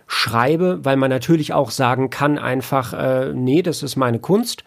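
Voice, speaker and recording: A man's voice: mid-range voice, unhurried, gravelly. A man speaking as a guest in a casual interview, explaining things in a rambling, conversational way with many filler phrases. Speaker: interview guest, audio drama producer and author.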